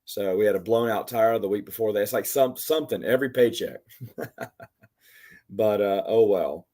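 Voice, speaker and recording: A man in his forties, hoarse from losing his voice, rambling in casual chat as host of a live Q&A and answering commenters by name. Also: on the low side, normal speed, warm tone. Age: 40s. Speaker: livestream host.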